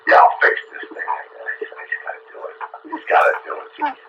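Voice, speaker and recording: A man in casual technical chat over two-way radio, speaking haltingly and repeating words as he goes. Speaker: amateur radio operator. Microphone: radio transceiver, recorded off air. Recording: dull, noisy two-way radio audio.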